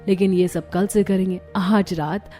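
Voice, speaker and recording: A woman voicing a calm, wise elder sister-in-law in a cartoon, soothing and measured. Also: mid-range voice, faint music behind, voice pushed down, close to an everyday voice.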